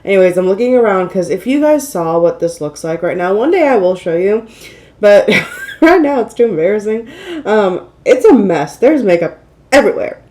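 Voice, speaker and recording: A woman with a relaxed, mellow tone, slightly stuffed up with a cold. She rambles chattily through a casual makeup routine as a vlog host, poking fun at herself along the way. Her voice sits at an average pitch with a light nasal edge. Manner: chatty, rambling, self-deprecating, exclaiming. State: mildly congested from a cold. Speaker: beauty vlogger, channel host. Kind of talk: casual get-ready-with-me makeup chat.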